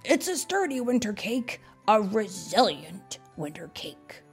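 A woman's voice: medium pitch, sing-song, a little slow, creaky, soft background music. A woman voicing Thomas, a cheerful, kind male storybook creature who speaks in an upbeat, hearty way. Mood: earnest.

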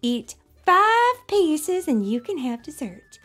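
A woman's voice: high voice, big pitch swings, slow, fairly bright tone, soft background music, voice pushed up, full character voice. A woman voicing a mother pea from a storybook, an insistent parent with a firm, singsong manner.

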